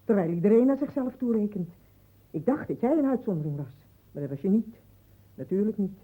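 A woman playing a reproachful, insistent middle-aged wife and mother in a radio drama, her voice husky. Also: mid-range voice, lively intonation, brisk. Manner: insistent, repetitive, accusing. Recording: muffled, narrow phone-like sound.

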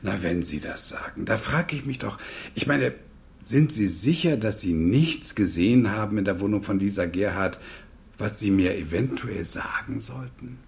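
An actor playing a probing police investigator in a radio crime drama, speaking in a measured, insinuating way with pointed pauses. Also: male voice, fairly low pitch, animated, gravelly.

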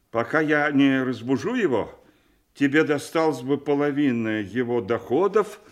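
An older man acting an aging, gullible nobleman father in a classical audio play, emphatic and theatrical, anguished and suspicious. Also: mid-range voice. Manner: theatrical, emphatic, swinging from hushed to outraged.

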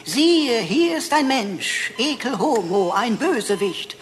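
A woman's voice: a little high, sing-song, slow. A woman voicing a gleefully wicked computer sidekick who preaches mock sermons in pompous, archaic phrases in a radio play. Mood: pompous, preachy. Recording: long hall reverb, faint music.

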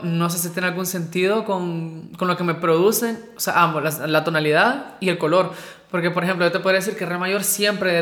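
A woman's voice: lowish voice, fairly bright tone. A woman talking casually and reflectively about her own experience. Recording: roomy echo with music underneath. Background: music.

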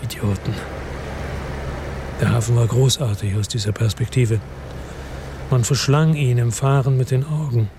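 A man's voice: medium pitch, fairly even intonation, a little slow, pauses often, noisy background. A man narrating a crime radio drama in first person, brooding and weary, his tone turning bitter.

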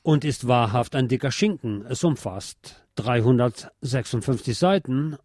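A man's voice: medium pitch, expressive, unhurried, darkish tone, no room sound, clean studio sound. A man hosting a radio programme in speech, reading scripted historical narration aloud. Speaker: radio host.

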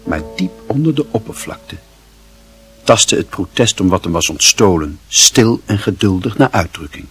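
A man narrating a literary radio drama in a solemn, measured and expressive manner. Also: fairly low pitch, breathy edge, faint music behind, exaggerated voice.

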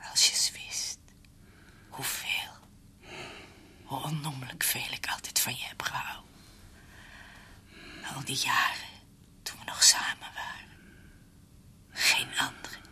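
Radio play: a fervent, devoted housekeeper. Very sharp, breathy half-whisper, unhurried pace. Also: female voice, a bass voice, steady tone, exaggerated voice. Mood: adoring, possessive. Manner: slow, intimate, fervent. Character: devoted, possessive housekeeper secretly in love. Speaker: actress in a radio drama. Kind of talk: radio drama acting, whispered monologue.

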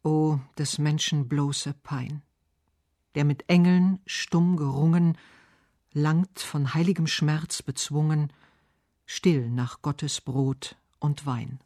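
A man reading scripted literary narration and verse for radio, measured and articulate. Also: fairly high pitch, fairly even intonation, darkish tone, dry recording, clean studio sound. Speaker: radio essay narrator and poetry reader.

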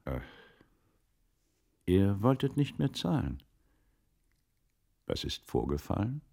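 An actor playing a shrewd, courteous gentleman of old Italy in a German radio play, with formal, measured delivery. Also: deep voice, very animated, vocal fry, full character voice. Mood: measured, probing.

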